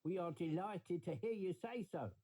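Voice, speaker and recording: A man's voice: high-pitched, animated, moderate pace, dark tone, voice pushed up. A man voicing a sly storybook swindler posing as a weaver, falsely polite and coaxing.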